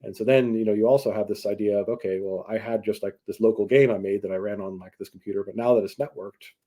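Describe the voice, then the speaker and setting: A man giving casual, explanatory interview answers as a tech executive, speaking in a halting, rambling way on a video call. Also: on the low side, fairly even intonation, warm tone. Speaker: tech company chief executive, guest.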